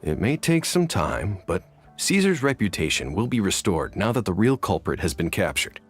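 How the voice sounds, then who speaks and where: Calm measured guy, lowish voice, light music. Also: breathy edge, heavily acted.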